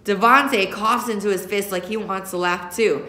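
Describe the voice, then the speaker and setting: A woman in her thirties, a teacher reading a young-adult novel aloud in an expressive first-person narrating style. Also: mid-range voice, normal speed. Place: indoor classroom. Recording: echoey classroom recording.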